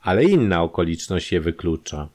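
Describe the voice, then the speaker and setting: A man's voice: low voice, very animated, moderate pace, darkish tone. A man voicing a brusque, impatient, experienced male doctor in a crime audio drama. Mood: explaining. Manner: curt and insistent.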